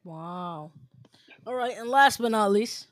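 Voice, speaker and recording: Young podcast host, chatty and teasing, dry close sound, middle pitch. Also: no echo. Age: young adult. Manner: upbeat, teasing, quick asides. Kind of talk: casual podcast chat.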